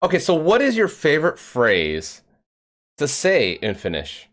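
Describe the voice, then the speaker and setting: A woman hosting a casual interview, laughing loudly and swearing in surprise. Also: low voice, huge pitch swings, a little slow. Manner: loud laughing swings, swears. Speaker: podcast host.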